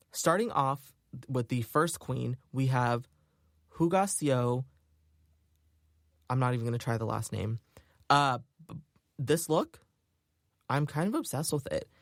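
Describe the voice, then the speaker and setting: A man's voice: mid-range voice, no echo, clean studio sound. A man hosting a solo podcast, talking in a chatty, upbeat way with lots of filler words. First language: English. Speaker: podcast host. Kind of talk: casual solo podcast chat.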